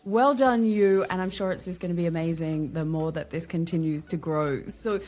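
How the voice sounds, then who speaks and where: A woman's voice: lowish voice, moderate pace, dark tone. An Australian woman, a guest on a podcast interview, talking candidly and chattily with wry asides. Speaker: guest, LinkedIn strategist.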